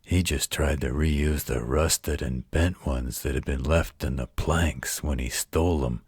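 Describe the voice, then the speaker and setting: Man playing very deep, husky werewolf protector. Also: no room sound, studio quality, heavily acted. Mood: folksy storytelling. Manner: folksy, unhurried, gently wry. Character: gruff, protective male werewolf, tender bedtime storyteller. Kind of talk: intimate bedtime-story roleplay.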